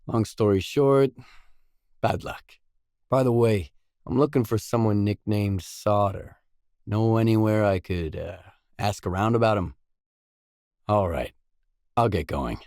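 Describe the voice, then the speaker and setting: An actor playing a guarded, dry, tough stalker mercenary in a video game, with terse, blunt delivery. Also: male voice, lowish voice, unhurried, pauses often, darkish tone, no room sound. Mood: guarded, low-key. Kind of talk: video game voice acting, isolated dialogue lines.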